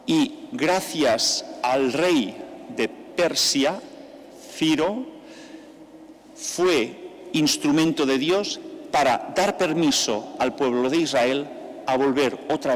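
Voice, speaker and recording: An older man, a priest, leading mass with a slow, measured liturgical delivery into a stand microphone in a large church. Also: medium pitch. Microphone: stand mic at the altar. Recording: long church reverb, faint background noise.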